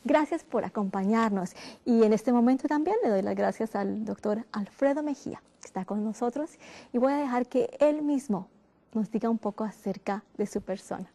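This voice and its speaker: Warm, earnest TV host woman. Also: on the high side. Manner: gentle, earnest, drawn-out emphasis. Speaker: TV health show host. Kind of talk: hosting, interviewing.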